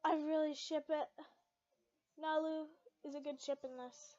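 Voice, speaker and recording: A young woman reading fan fiction aloud in an informal, excitable way, stumbling over words and dropping into casual asides. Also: sits high, a little slow, no room sound. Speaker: amateur fan fiction reader. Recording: muffled lo-fi home recording.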